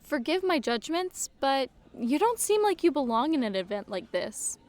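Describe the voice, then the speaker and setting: A woman's voice: high-pitched, sing-song, moderate pace, a little mellow. A woman acting a forthright, teasing young professional in an audio drama, with casual, blunt banter.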